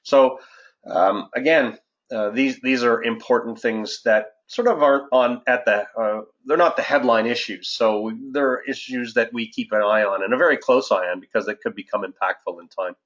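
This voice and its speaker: A man giving measured, somewhat halting financial market commentary on a conference call as an investment adviser. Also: medium pitch, a bit bright. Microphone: conference call line. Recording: dull, lo-fi conference call audio.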